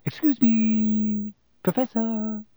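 A man's voice: mid-range voice, huge pitch swings, normal speed, dark tone, husky, no room sound. A man voicing an earnest, bossy, bookish schoolgirl with a keen, emphatic manner in an audiobook. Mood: cautious.

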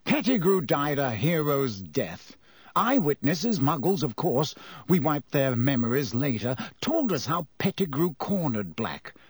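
A man's voice: on the high side, sing-song, measured pace, breathy edge, dry recording, exaggerated voice. A man playing a pompous, self-important older government minister in an audiobook, grave and formal in delivery. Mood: solemn.

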